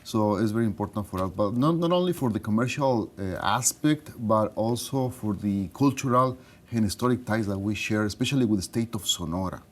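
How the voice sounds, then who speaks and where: Halting low-voiced man from Mexico. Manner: halting, with many fillers and restarts. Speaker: studio guest, consular official. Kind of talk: interview answers.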